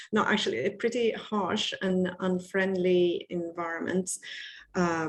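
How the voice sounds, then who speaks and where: A middle-aged woman with a Swedish accent, presenting her research in a webinar over a video call, chatty and self-deprecating with frequent restarts. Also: fairly low pitch. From Sweden. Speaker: guest speaker, researcher.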